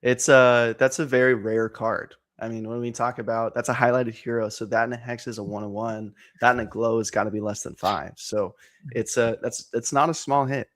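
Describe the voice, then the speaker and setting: A man in his twenties, excitable and energetic, chatting casually about card breaks with lots of fillers. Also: mid-range voice, moderate pace. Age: 20s. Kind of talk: casual chat on a stream.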